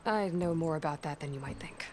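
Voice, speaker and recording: Woman playing a blunt, low-pitched young adventurer. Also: brisk, darkish tone, a little hiss or hum, lightly acted. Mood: probing. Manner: direct, dry, probing. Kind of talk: scripted video game cutscene dialogue. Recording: music behind the voice.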